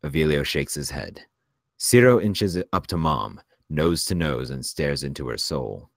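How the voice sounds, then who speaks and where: Very deep-voiced male script narrator. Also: animated, unhurried, creaky, no echo, clean studio sound. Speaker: narrator reading stage directions. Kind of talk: scripted narration at a screenplay table read.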